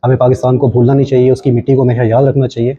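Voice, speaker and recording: A man's voice: mid-range voice, level tone, dark tone. A man with a Pakistani accent gives an earnest interview answer, speaking as if making a small speech. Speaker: stage actor. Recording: dull lo-fi event interview.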